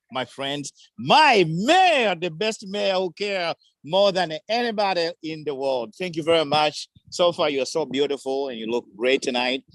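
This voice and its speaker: A man's voice: a little high, dry recording. A man from Tanzania with a Tanzanian accent, speaking effusively at a community forum in broken English. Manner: effusive, warm praise, broken English phrasing. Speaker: guest speaker. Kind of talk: enthusiastic community forum remarks.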